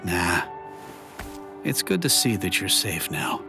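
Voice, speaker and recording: An actor playing a brash, hot-tempered young swordsman in an anime game dub, talking bluntly and gruffly. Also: sits low, sing-song, slow, over music, exaggerated voice. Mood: awkward, subdued.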